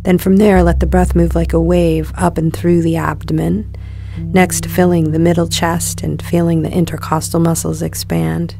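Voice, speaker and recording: A woman guiding a meditation in a calm, soothing, measured delivery, letting her phrases end softly. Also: lowish voice, creaky, faint music behind. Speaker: meditation guide. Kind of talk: guided meditation narration.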